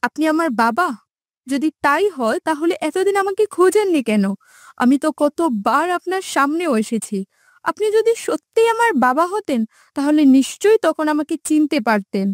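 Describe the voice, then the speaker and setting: A woman's voice: high-pitched, very animated, normal speed, no room sound, studio quality. A woman voicing a hurt young girl in a fairytale cartoon, pleading and earnest. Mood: hurt, questioning. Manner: plaintive, earnest. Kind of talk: melodramatic fairytale cartoon acting.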